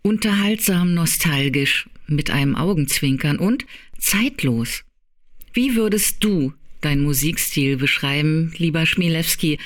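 A woman from Berlin interviewing a singer in a playful, flattering, slightly theatrical way. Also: sits low, a little slow. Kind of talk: interview questions.

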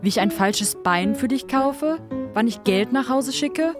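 A woman's voice: fairly high pitch, lively intonation, unhurried. An actress playing a fed-up, resentful breadwinner in theatrical stage dialogue, with guitar music behind her. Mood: fed up, accusing. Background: plucked guitar music.

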